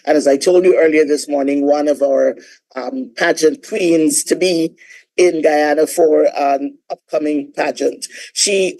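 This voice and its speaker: A woman's voice: low voice, dark tone, low-quality recording. A woman hosting an online event, introducing the next session and its moderator in a semi-formal way. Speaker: event host. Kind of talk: introducing speakers.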